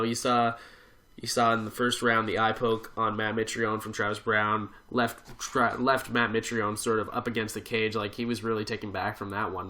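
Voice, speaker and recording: Hoarse, nasal man with a bright edge. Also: medium pitch. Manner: chatty, laughs often. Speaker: podcast host. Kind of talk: casual chat.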